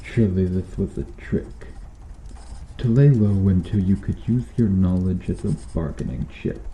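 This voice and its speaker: A man acting a deep, dark-voiced cruel knight. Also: reverberant, slight background noise. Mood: bitter, frustrated. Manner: brooding, menacing, deliberate delivery with dropping hushed phrases. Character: cruel, bitter medieval knight interrogating a cursed witch. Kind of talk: slow ASMR roleplay acting.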